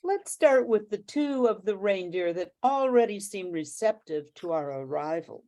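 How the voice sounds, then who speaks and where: Woman as a mellow, formal alien leader. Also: mid-range voice, lively intonation, natural voice. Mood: courteous. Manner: formal, measured. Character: courteous, formal alien leader from another planet. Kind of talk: amateur radio play acting.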